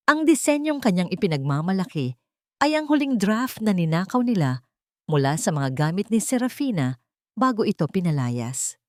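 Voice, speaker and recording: A woman reading a scripted dramatic story in a deliberate, melodramatic storytelling manner. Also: on the low side, a little mellow, no room sound, clean studio sound. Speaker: storyteller, narrator.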